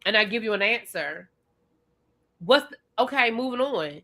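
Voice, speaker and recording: A woman's voice: fairly high pitch, lively intonation, normal speed, pushed and bright. A woman from Washington, D.C. co-hosting a casual TV recap chat, blunt and emphatic, pressing her points. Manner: emphatic, blunt, repeats points with rising exasperation. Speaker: podcast co-host.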